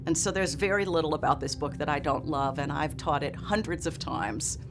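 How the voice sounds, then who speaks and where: A middle-aged woman, a scholar and teacher, lecturing on literature in a deliberate, articulate and emphatic way. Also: sits low, background noise. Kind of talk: literary lecture and expressive reading aloud. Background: music.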